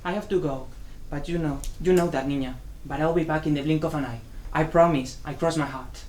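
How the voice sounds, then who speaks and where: A woman's voice: low voice, fairly quick, a little mellow, lightly acted. A woman acting a proud, stubborn Cuban husband in a dramatic play reading, emphatic and domineering, with Spanish endearments mixed in. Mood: tender, reassuring. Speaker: student actor. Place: indoor room, live play reading. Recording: echoey room with faint background noise.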